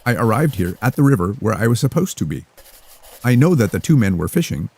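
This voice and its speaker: A man voicing a calm, mysterious young woman who speaks formally and rhythmically. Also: fairly low pitch, breathy edge, no echo, heavily acted. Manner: formal, rhythmic, unhurried delivery.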